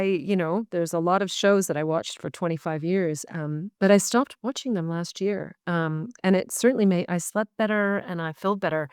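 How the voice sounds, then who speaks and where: A woman's voice: medium pitch, darkish tone, clean tone, no echo, studio quality. A woman with a Canadian accent talking earnestly and reflectively as a guest on a video call interview. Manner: earnest, reflective, speaks in long searching phrases. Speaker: guest, medical intuitive. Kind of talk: casual interview talk.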